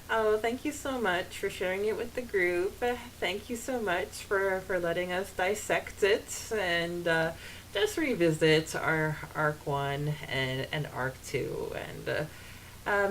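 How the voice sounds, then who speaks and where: A woman co-hosting a podcast in casual, halting, rambling conversation full of fillers. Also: medium pitch, slight background noise, poor audio. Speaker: podcast co-host.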